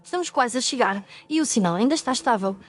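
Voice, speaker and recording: A woman's voice: on the high side, lively intonation, fast talker, faint music behind. A woman playing a determined, curious young ghost-story podcaster, earnest and articulate, with a European Portuguese accent. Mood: intrigued. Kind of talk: audio ghost story acting.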